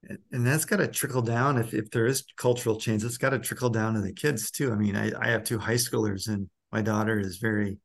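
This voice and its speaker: A man's voice: lowish voice, fairly even intonation, brisk, vocal fry, few pauses. A middle-aged man interviewing in a conversational way, reflective and tentative as he thinks aloud. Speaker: interviewer and school principal.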